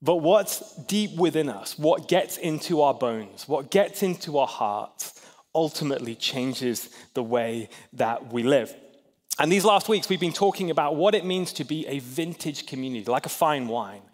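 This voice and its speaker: A man's voice: fairly high pitch, animated, normal speed. A man in his thirties, raised in Hong Kong, preaching a chatty sermon in a church and asking the crowd questions with self-deprecating humor. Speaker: pastor. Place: large church hall. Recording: long hall reverb.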